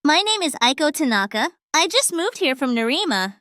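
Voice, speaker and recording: A woman's voice: high-pitched, big pitch swings, normal speed, pushed and bright, no room sound, studio quality, full character voice. A woman voicing a chatty, self-assured schoolgirl in a manga dub. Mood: polite.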